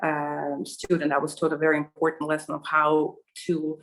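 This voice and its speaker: Middle-aged woman, lowish voice, lots of ums and you knows. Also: monotone, normal speed, poor audio. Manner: hesitant, many fillers and restarts. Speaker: interviewee. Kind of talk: conversational interview answer.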